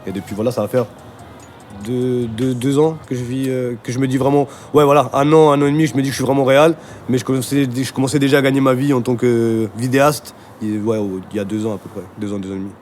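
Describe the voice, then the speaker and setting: A man's voice: mid-range voice, fairly even intonation, fast, darkish tone, soft background music. A man from Argentina, telling his story in a casual, slangy interview style. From Argentina, moved to France at thirteen. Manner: slangy, informal, punctuated with conversational tags. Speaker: interviewee, music video director.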